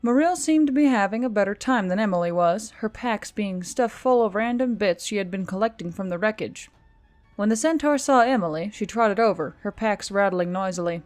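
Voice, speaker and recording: A woman narrates a piece of fiction in a medium-pitched voice. She reads scripted prose as an audiobook narrator, with music playing behind her. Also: lively intonation, brisk, darkish tone.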